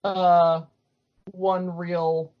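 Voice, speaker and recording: A woman's voice: lowish voice, level tone, drawn-out words. A woman co-hosting a podcast, chatting casually about cartoons, recorded in dull lo-fi audio. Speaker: podcast co-host.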